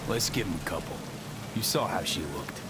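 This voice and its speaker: An actor playing a cool, practical mercenary in a video game, speaking in a terse, matter-of-fact way. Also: male voice, low voice, lively intonation, brisk. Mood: guarded. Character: cool, practical male mercenary in a cyberpunk city. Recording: music behind the voice.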